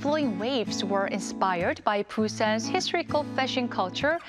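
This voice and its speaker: A woman with a fairly high voice reads a scripted news feature in a smooth, polished way. Loud instrumental music plays under her voice. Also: expressive, moderate pace. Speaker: news presenter. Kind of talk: scripted narration. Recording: voice-over with loud music bed.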